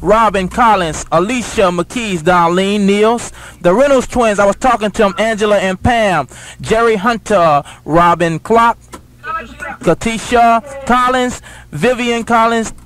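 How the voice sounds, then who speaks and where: A man's voice: sits high, a little slow, fairly bright tone. A man reads out dedications on a hip hop radio show. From United States. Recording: 1980s radio aircheck, music behind.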